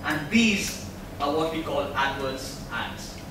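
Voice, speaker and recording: Ein Mann hält am Rednerpult einen erklärenden Vortrag vor Publikum und stellt zwischendurch Fragen an die Zuhörer. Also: male voice, on the high side, animated, slow pace. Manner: explanatory, asks the audience questions. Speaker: presenter at a tech meetup. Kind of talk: presentation talk. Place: large meeting room, speaking at a podium. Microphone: far camera mic. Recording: echoey room recorded from far off, noisy.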